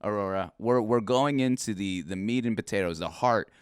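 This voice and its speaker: A man's voice: lowish voice, moderate pace, clear, resonant tone, no room sound, studio quality. A man hosting a casual interview show, talking in a rambling, friendly way with plenty of fillers and restarts. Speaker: podcast host.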